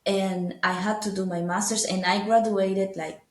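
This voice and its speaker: A woman's voice: medium pitch, steady tone, normal speed. Venezuelan woman speaking English in an echoey room. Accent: Venezuelan Spanish. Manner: thoughtful, frequent fillers and restarts, laughs easily. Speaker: guest. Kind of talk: casual interview talk.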